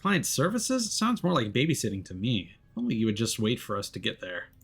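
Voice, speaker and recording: A man's voice: mid-range voice, huge pitch swings, a little fast, a bit bright, husky, full character voice. A man voicing a patient, long-suffering woman investigator who speaks earnestly and encouragingly, for a story game. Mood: exasperated. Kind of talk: visual novel character voice.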